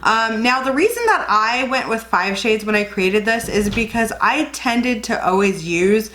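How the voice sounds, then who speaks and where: A woman's voice: on the high side, animated, a little slow, fairly bright tone, a little hiss or hum. A young woman with a slight cold, chatting and rambling through a casual makeup tutorial as a beauty vlogger. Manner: chatty, rambling, self-correcting.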